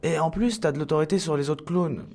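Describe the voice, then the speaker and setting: A man's voice: fairly high pitch, brisk, creaky, darkish tone. A man playing a supportive clone colleague in a French comedy audio drama, casual and reassuring.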